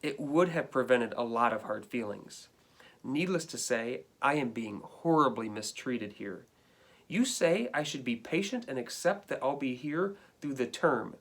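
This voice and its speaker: A man's voice: mid-range voice, animated, measured pace, a bit bright. A man reading a storybook as an indignant, put-upon pet dog, complaining in a dramatic, emphatic way. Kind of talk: storybook read-aloud.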